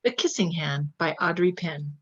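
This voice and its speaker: A woman's voice: sits low, expressive, normal speed, fairly bright tone, husky, no echo. A woman narrating a children's picture book in a read-aloud style, on a slightly dull recording. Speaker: story reader.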